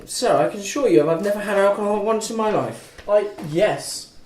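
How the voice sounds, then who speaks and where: A man plays an indignant, tipsy student with a high voice. He argues back with mock formality in a drama read-through, in an echoey room with loud chatter behind. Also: normal speed, natural voice. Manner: mock-formal protesting, argumentative. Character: indignant, trashed young male student refused club entry. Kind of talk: informal script table read.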